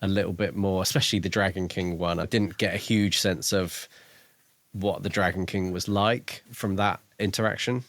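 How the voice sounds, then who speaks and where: Lower-voiced man in casual, reflective discussion. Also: steady tone, moderate pace, fairly bright tone, no room sound. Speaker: podcast co-host.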